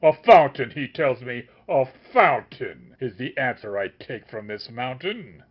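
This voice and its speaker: A man's voice: medium pitch, expressive, measured pace, breathy edge, highs cut off. Man voicing a raging, scornful truth-seeker. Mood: furious. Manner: shouting, scornful tirade. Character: obsessive male truth-seeker, raging and proud.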